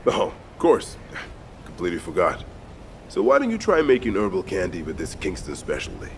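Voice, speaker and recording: A man's voice: sits low, huge pitch swings, normal speed, gravelly, a little hiss or hum, full character voice. A man voicing a persuasive, teasing comrade in a video game, with a coaxing, knowing delivery. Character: persuasive, teasing male comrade giving missions.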